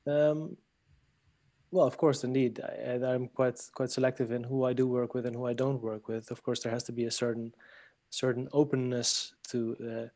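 A man from France, a guest on a podcast interview, speaking thoughtfully with many pauses and restarts. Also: medium pitch, little pitch movement, a little mellow, no echo. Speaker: guest, leadership trainer.

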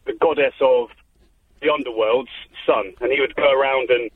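A male caller with a husky voice explains things in a hesitant, halting way. He sounds set back from his phone, and the narrow phone line makes him tinny. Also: mid-range voice, fairly even intonation, dry recording, recorded from a distance. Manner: hesitant, filler-heavy explaining. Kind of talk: phone-in call.

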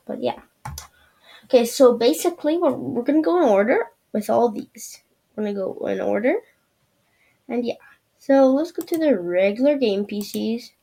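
Gravelly, low-toned woman hosting casually. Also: dark tone. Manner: rambling, loose, many fillers and trailing "yeah". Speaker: podcast host. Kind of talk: casual screen-share review.

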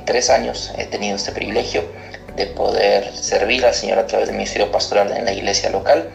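A man's voice: lowish voice, vocal fry. A man in his thirties, raised in Costa Rica and born in Argentina, explaining his work as a pastor in an interview. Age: 30s. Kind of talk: recorded interview answer, explanatory. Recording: echoey room, music underneath.

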